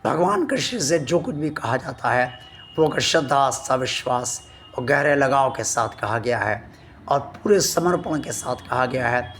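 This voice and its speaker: A man hosting a devotional channel, speaking to his viewers slowly and earnestly, his voice still weak from a sore throat. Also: medium pitch, lively intonation, a bit bright, faint music behind. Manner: slow, earnest and devout, addressing viewers formally. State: recovering from a sore throat, voice not at full strength.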